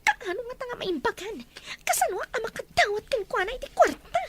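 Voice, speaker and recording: Actress as sharp-tongued scheming woman, extremely high voice, highly animated, radio melodrama. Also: a little fast, very noisy. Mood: probing. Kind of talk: radio-style melodrama acting.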